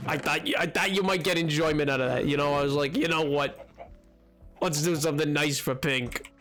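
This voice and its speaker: A man streaming a game, chatting with his viewers in a rambling, excitable way, his voice hoarse from a cold. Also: on the high side. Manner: rambling, excitable, jumps between topics. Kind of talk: casual chat with stream chat while gaming.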